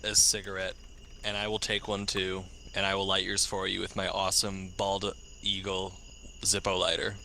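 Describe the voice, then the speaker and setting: A man's voice: deep voice, monotone, normal speed, gravelly, pushed and bright, a little hiss or hum. A man playing a role-playing game, improvising dialogue in character.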